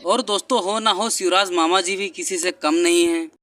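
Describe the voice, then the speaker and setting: A man's voice: high-pitched, steady tone, moderate pace, very noisy. A man delivering scripted, rhyming satirical narration in a mocking manner. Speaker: narrator of political troll video.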